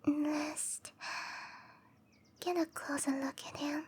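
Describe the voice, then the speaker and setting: A woman voicing a gentle, caring cherry blossom dryad girl in an ASMR roleplay, musing softly to herself in a breathy, often whispered voice. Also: high-pitched, steady tone. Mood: puzzled, musing. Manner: musing to herself, slow and tender.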